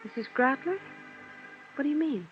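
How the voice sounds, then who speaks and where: A woman's voice: high voice, expressive, moderate pace. An actress playing a plucky, guarded young mother in hiding, plain-spoken and wry, in 1950s radio drama style. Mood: worried. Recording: dull vintage radio recording, faint music.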